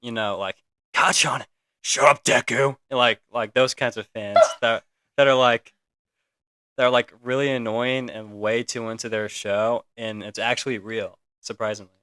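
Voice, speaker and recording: A young man co-hosting a casual podcast chat, halting and filler-heavy. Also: medium pitch, steady tone, sharp tone, smooth, no echo. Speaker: podcast co-host.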